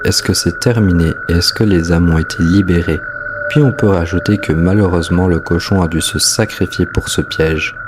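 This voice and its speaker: A man's voice: low-pitched, steady tone, normal speed, noisy background. A man hosting and narrating a scripted explainer of a horror story. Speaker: host, narrator. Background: music.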